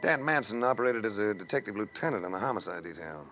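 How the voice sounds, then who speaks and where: Low-voiced wry hard-boiled adventurer. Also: male voice, expressive, quick pace, fairly bright tone, faint music behind. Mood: wry narration. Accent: American. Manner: wry, smooth, clipped noir delivery. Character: suave hard-boiled American male adventurer narrating his case. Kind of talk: 1940s-50s radio detective drama acting. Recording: hissy old-time radio recording.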